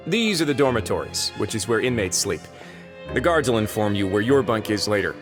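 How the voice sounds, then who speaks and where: Low-voiced, dryly witty fortress administrator. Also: male voice, sing-song, over music. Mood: composed, matter-of-fact. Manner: formal, unhurried, dry wit. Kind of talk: video game voice acting.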